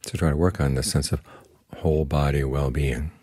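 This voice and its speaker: An older man, a Buddhist monk, giving a slow, calm, plainspoken guided meditation talk. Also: very deep voice, husky, no room sound. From United States.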